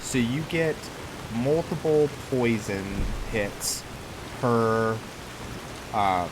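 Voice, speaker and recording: A man's voice: medium pitch, animated, extremely slow, background noise. A man giving casual, improvised video game commentary, rambling in a laid-back way and chatting to the game's characters. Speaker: gaming YouTuber, host of a roleplay let's play. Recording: game music and rain behind voice. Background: loud game music, rain and thunder.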